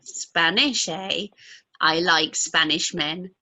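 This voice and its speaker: A woman's voice: lowish voice, slow, bright, forceful tone, exaggerated voice. A woman playing a chatty, gushing, frank young mother-to-be in an amateur radio play, with a gossipy manner. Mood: curious, gossipy.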